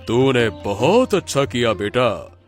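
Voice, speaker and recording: Cartoon holy man played by a male actor: unhurried, assured, fatherly remedy seller, faint music underneath. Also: mid-range voice, big pitch swings. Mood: assured, pompous. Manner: slow, assured, fatherly address. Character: smooth-talking holy man selling miracle remedies. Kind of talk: Hindi cartoon moral story acting.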